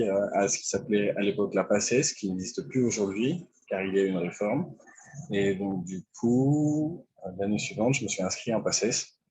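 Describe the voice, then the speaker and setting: A man in his twenties from France, a medical intern, talking hesitantly about his career with many pauses and fillers. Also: fairly low pitch, a little mellow, husky, poor audio. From France (Lyon). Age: 20s. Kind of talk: online panel talk about his career.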